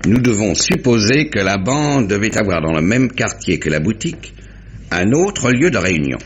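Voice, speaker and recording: A brisk, methodical police inspector in a vintage radio mystery. His deep voice is very animated, with muttered asides, and the recording is dull and noisy. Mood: bossy, good-humoured. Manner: talkative, deliberate summing-up with muttered interjections. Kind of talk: 1950s French radio mystery acting.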